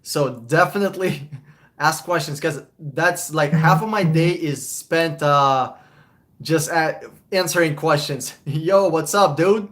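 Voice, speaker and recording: A man's voice: fairly high pitch, takes it slowly, fairly bright tone. A young man from Russia, hosting a casual live Q&A about buying cars, blunt and chuckling as he swears. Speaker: car buying advisor, livestream host. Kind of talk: live-stream Q&A with chat.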